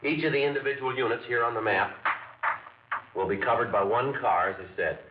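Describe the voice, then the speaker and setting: An actor playing a steady, matter-of-fact police sergeant in a 1950s radio drama, speaking in a clipped, businesslike way. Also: male voice, medium pitch, fairly quick, echoey room, background noise, natural voice. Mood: briefing. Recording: tinny, distant old radio broadcast with music.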